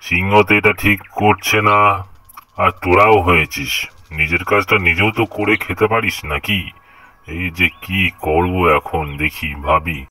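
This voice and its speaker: A man's voice: low-pitched, normal speed, bright, forceful tone, rough recording. A man voicing an authoritative tiger king who judges and commands in a children's cartoon. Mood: concerned.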